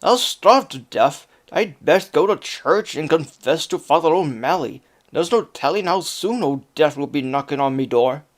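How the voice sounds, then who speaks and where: A man's voice: fairly high pitch, animated, moderate pace, hardly any pauses, lightly acted. A man voicing a lazy, whining Irish husband with a put-on Irish accent. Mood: fretful. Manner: whiny, dramatic complaining.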